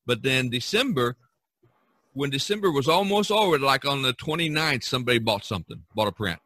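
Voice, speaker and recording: A man's voice: mid-range voice, animated, brisk, bright, forceful tone, dry recording. A man hosting a casual podcast about art, talking in a rambling, enthusiastic way and repeating himself. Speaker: podcast host and artist.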